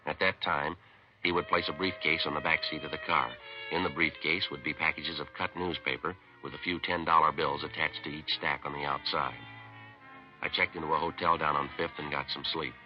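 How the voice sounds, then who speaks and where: A man acting and narrating as a terse, hard-boiled American police detective in a 1950s radio drama, clipped, deadpan and matter-of-fact. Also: extremely low pitch, fairly quick, music in the background. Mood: flat, matter-of-fact. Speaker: lead actor and narrator. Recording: muffled vintage 1950s radio recording.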